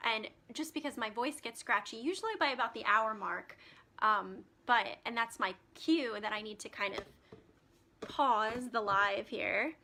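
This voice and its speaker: A woman's voice: on the high side, measured pace, pushed and bright. A woman hosting a live stream as a spiritual healer, chatting casually with many ums and reading out card meanings, her voice turning scratchy. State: voice getting scratchy.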